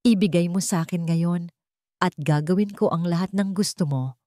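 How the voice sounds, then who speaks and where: A woman's voice: lowish voice, normal speed, mellow, no echo, clean studio sound, close to an everyday voice. Woman voicing a blunt, proud, bitter young man. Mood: desperate, blunt. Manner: blunt, resolute.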